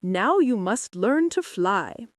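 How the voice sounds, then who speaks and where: A woman's voice: mid-range voice, big pitch swings, slow pace, dry recording, studio quality. A woman voicing a patient, firm mother stork in a storybook, instructing and reassuring her young.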